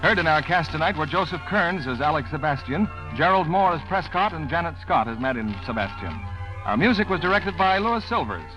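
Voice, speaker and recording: Lively American radio announcer over music. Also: male voice, a little high, a little fast, rough recording. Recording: vintage radio broadcast with music.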